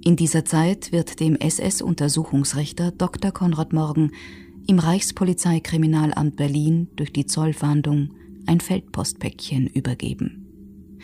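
Low, mellow female documentary narrator. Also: steady tone, unhurried, slight background noise.

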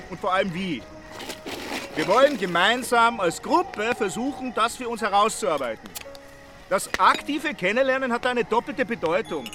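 A male actor plays an earnest, coaxing group leader in a radio drama. His very high-pitched voice is didactic and encouraging, with music clearly playing behind it. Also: lightly acted.

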